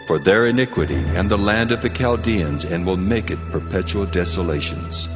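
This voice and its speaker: Wrathful deity male actor, scripture drama: very low, solemn, commanding, cavernous reverb. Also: natural voice. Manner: solemn, commanding, measured delivery. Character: God, a stern wrathful male deity pronouncing judgment. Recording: long cavernous reverb, music underneath.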